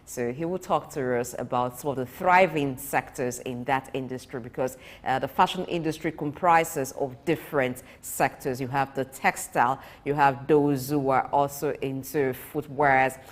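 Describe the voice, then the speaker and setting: Woman, morning TV host, very low voice. Chatty, with some hesitation. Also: fairly even intonation, normal speed, hardly any pauses. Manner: conversational, with fillers. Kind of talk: live TV interview.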